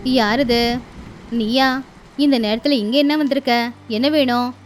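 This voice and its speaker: A woman's voice: a little high, steady tone, takes it slowly, slight background noise. A woman voicing a curt, unwelcoming female pigeon neighbour in a cartoon moral story. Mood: curt, annoyed.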